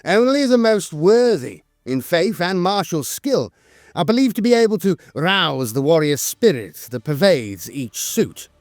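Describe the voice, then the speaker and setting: A man's voice: sits high, sing-song, measured pace, no room sound, studio quality, soft background music. A man narrating scripted lore in a solemn, formal and dramatic way.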